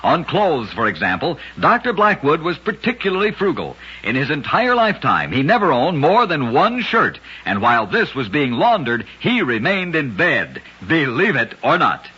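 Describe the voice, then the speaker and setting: Husky man narrating old radio oddities. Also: fairly high pitch, huge pitch swings, moderate pace, hardly any pauses, pushed and bright. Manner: dramatic storytelling delivery. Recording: dull lo-fi old radio recording.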